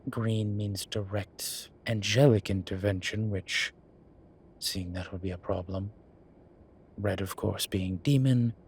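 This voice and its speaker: A man playing a suave, vain incubus demon professor in an audio roleplay, speaking in a formal, measured, wryly teasing way. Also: fairly low pitch, steady tone, breathy edge, exaggerated voice. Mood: measured, serious.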